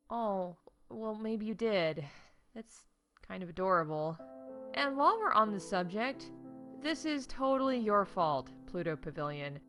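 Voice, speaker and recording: A woman's voice: on the low side, fairly bright tone. Wry, snarky woman acting as female theme park announcer. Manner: wry, sarcastic, breaking off into asides. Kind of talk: comedic scripted announcements with candid asides.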